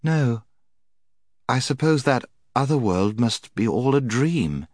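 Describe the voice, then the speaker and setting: Man voicing a raised-pitch, snappish schoolgirl. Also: expressive, slow, darkish tone, dry recording, studio quality. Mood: dazed. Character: indignant, snappish young schoolgirl. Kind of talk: dramatised storybook reading.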